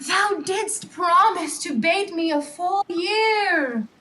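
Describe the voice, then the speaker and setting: A woman playing an eager, spirited sprite of the air in a Shakespearean audio drama, speaking verse in a theatrical, deliberate way. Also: very high-pitched, expressive, sharp tone, heavily acted. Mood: pleading, aggrieved. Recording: remote recording with faint background noise.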